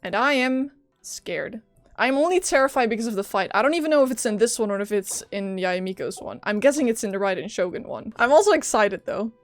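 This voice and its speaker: A woman streamer with a fairly high voice reacts to a game in a dramatic, exclamatory way. She sounds close and dry on the mic, with light game music behind her. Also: expressive, fairly quick, no room sound. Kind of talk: live gameplay reaction chat.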